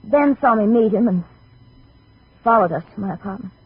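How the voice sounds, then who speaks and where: A woman's voice: medium pitch, expressive, moderate pace, husky. An American actress playing a desperate, pleading, stubborn young woman in a 1950s radio drama. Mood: guarded, subdued. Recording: muffled, phone-like old radio recording.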